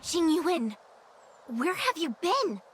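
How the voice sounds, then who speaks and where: A woman's voice: very high-pitched, big pitch swings, slow, faint music behind, heavily acted. A woman playing a serious, authoritative lady of rank in a video game, speaking formally and assertively. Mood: impatient, demanding. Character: commanding female dignitary, serious and authoritative. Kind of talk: dramatic scripted game dialogue.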